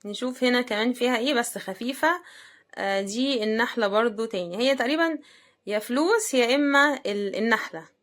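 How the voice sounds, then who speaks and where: Casting: Egyptian woman, fairly high voice, conversational unboxing host with a casual, thinking-aloud delivery. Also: brisk, fairly bright tone. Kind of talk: casual running commentary while unboxing.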